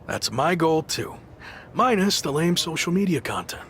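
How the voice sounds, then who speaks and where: An actor playing an earnest, principled underdog wrestler, firm and serious, in a video game cutscene. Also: male voice, fairly high pitch, very animated, moderate pace, fairly bright tone, slight background noise. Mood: defiant.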